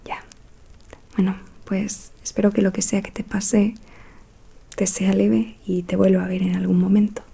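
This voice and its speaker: A woman from playing a gentle, mysterious fortune-telling witch in an ASMR roleplay, speaking in a soft, soothing whisper. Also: mid-range voice, little pitch movement, vocal fry, husky, full character voice. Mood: intrigued, apologetic. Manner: slow, soothing, confiding.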